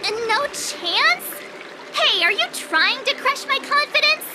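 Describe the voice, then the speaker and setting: A woman voicing a perky, playful and vain teenage apprentice swordswoman in an anime game, with bubbly, expressive delivery. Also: extremely high pitch, slow, very noisy, full character voice. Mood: pouting. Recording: loud game music behind.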